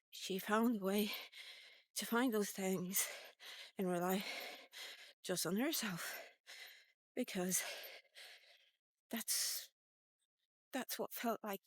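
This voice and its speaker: A woman in her fifties telling a personal story in a casual, rambling vlog style, halting and informal as she thinks aloud. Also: mid-range voice, dry recording. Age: 50s. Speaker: vlogger. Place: walk-and-talk recording.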